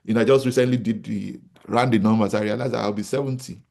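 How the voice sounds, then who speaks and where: A man's voice: fairly low pitch, fairly even intonation, fast talker, gravelly, dark tone. A Nigerian man in his forties, a company founder giving a motivational business talk to an audience, who repeats his key points and asks rhetorical questions. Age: 40s. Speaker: real estate company founder speaking to an audience.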